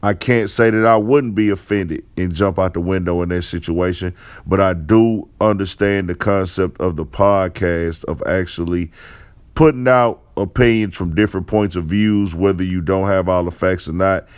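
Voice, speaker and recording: A man with a deep, resonant voice hosts a casual commentary show. He talks off the cuff in slangy, conversational phrases, as if chatting straight to his followers. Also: normal speed, smooth. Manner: slangy, conversational, repeats "you know". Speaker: host of an online commentary show.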